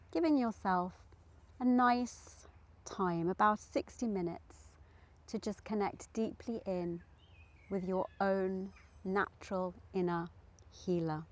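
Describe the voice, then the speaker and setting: A woman leading a guided meditation in a gentle, soothing manner, leaving long pauses between short, deliberate phrases. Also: medium pitch.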